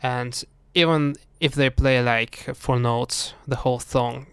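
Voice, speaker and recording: A man from Russia, co-hosting a casual podcast chat and pausing often on fillers and restarts. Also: mid-range voice, steady tone, fairly bright tone, clear, resonant tone, no echo. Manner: halting, many fillers and restarts. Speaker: podcast co-host.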